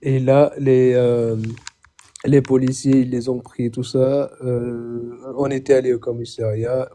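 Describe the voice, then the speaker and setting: A young man from Chad calling in to tell his own story, halting, repeating words and often swearing it's true. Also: mid-range voice, level tone, warm tone. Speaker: caller. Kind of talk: phoned-in personal testimony.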